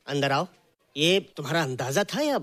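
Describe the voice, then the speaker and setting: A man's voice: a little high, very animated, moderate pace, fairly bright tone. An actor playing an astonished male schoolteacher quizzing a class in Hindi film dialogue mixed with English. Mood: amazed.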